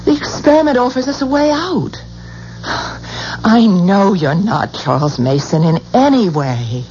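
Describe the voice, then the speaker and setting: A woman's voice: medium pitch, sing-song, a little slow, darkish tone. A woman playing a devoted, coaxing wife in an emotional 1970s radio drama performance. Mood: pleading. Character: devoted, pleading, persuasive wife. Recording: muffled, hissy 1970s radio recording.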